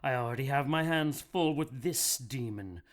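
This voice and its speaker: A man's voice: medium pitch, normal speed, natural voice. An actor playing a cruel witch-hunting religious brother in an audio drama, pompous, stern and threatening. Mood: smug, menacing. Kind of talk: audio drama villain acting.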